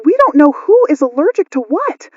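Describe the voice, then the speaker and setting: A woman's voice: high-pitched, very animated, moderate pace, mellow, no echo, highs cut off. A woman playing a frightened, urgent nurse calling in to a radio drama broadcast. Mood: panicked, urgent. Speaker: caller. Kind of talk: acted radio drama call-in.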